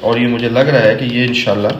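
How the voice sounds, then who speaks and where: A chatty middle-aged man cooking in his home kitchen, explaining each step to camera as he goes. His voice sits at a mid pitch and bounces around a hard, echoey room. A steady background noise runs under him. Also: quick pace, highs cut off. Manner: chatty, explains each step, sprinkles English phrases and Islamic blessings. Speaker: cooking vlogger. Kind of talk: casual cooking vlog to camera.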